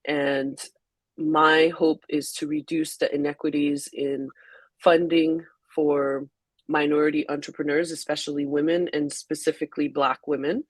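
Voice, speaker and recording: A woman from Haiti whose first language is French, a magazine founder answering questions on an online webinar call in a soft, unhurried way with frequent ums. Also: sits low, dry recording. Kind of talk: webinar interview.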